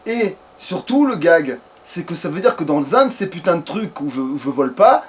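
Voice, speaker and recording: A man's voice: on the high side, sing-song, moderate pace. A man giving casual, rambling let's play commentary peppered with swearing. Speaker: let's player.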